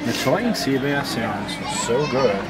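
A man's voice: mid-range voice, animated, measured pace. An American man in his thirties narrating a casual vlog as he goes around, recorded on a handheld camera. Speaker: vlogger. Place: out and about on a boardwalk and in restaurants. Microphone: handheld camera at arm's length. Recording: noisy handheld camera audio with ambient din.

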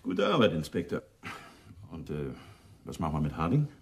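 Animated senior police officer. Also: male voice, rumbling low, moderate pace, heavily acted. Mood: inquisitive. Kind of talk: German radio crime drama acting.

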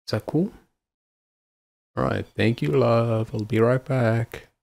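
A man playing a devoted, affectionate husband in an intimate audio roleplay, with a husky voice. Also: mid-range voice, slow pace, a little mellow. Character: devoted, affectionate husband, playful then anxiously caring. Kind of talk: intimate ASMR boyfriend-style roleplay acting.

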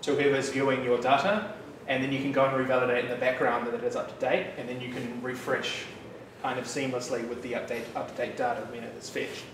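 A man in his thirties with a New Zealand accent, giving a casual, chatty developer talk at a meetup, relaxed with frequent fillers and asides. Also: mid-range voice, fast, hardly any pauses. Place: bright loft-like office room with an audience. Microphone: room mic, speaker standing away from it. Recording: distant, echoey room with background noise.

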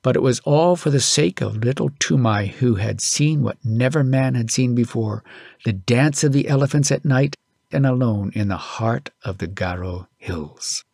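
A man's voice: mid-range voice, moderate pace, creaky, a little mellow, dry recording, clean studio sound. A man reading a story aloud as an audiobook narrator, in scripted storytelling style.

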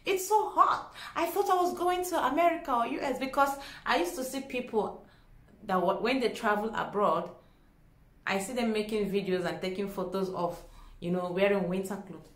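Expressive Nigerian woman in an echoey room. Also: medium pitch, moderate pace. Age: young adult. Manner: expressive, dramatic, acts out dialogue. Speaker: vlogger. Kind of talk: animated storytelling to camera. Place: small indoor room at home.

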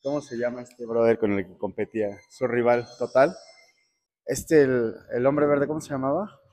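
A man's voice: medium pitch, fairly even intonation, normal speed, darkish tone. A man, hesitantly searching for answers in a gym quiz. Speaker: interviewee. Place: indoor gym.

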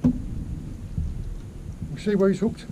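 A young man from England, chatty and excitable, explaining his catch as he fishes from a small boat, recorded on an action camera. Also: very slow. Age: young adult. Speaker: fishing vlogger. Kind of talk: casual commentary while fishing. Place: small open boat at sea. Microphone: action camera mounted on the boat. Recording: noisy outdoor camera audio at sea.

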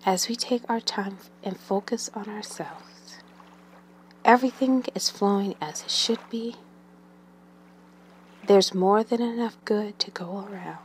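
A woman leading a guided meditation in a gentle, soothing manner, with long pauses and breathy phrase endings that trail away. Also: medium pitch, a little hiss or hum.

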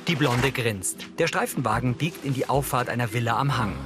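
A man's voice: mid-range voice, fairly quick, over music. A man reading scripted audio description in a neutral, matter-of-fact way as the narrator.

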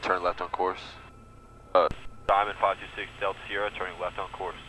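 A man's voice: low-pitched, level tone, brisk. A young man learning to fly, speaking hesitantly and unsurely as a student pilot through a headset. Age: young adult. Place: small airplane cockpit in flight. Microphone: aviation headset. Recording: muffled headset, loud engine noise.

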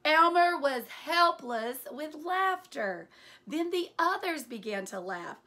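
A woman in her forties reads a picture book aloud as a teacher would, in an animated, deliberate way with hushed asides. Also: high-pitched. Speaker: teacher reading aloud to children. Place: small furnished room indoors.

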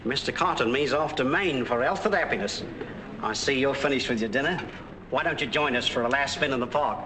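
An actor playing a folksy cab driver. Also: male voice, medium pitch, a little fast, close to an everyday voice. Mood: cheerful. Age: middle-aged. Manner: folksy, plain-spoken, ungrammatical. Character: cheerful working-class middle-aged cab driver. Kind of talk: 1940s film acting. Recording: hissy 1940s film soundtrack.

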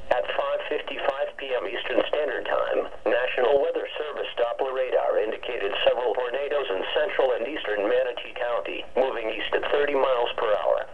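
A computerized man's voice delivers an official weather warning in a formal, measured way. It is rough rather than clean, coming through a narrow, tinny radio speaker with a muffled lo-fi sound. The pitch sits in an ordinary male range. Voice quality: synthetic text-to-speech voice. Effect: radio transmission. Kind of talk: scripted emergency weather warning bulletin. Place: weather radio playback, filmed up close.

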